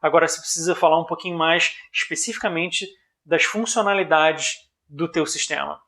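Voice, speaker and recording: A Brazilian man with a fairly high-pitched voice explains business ideas informally. He speaks in a didactic but conversational manner, like a presenter talking directly to the listener, and often adds small tags to check they are following. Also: fairly even intonation, moderate pace, sharp tone. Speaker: presenter of a business analysis video.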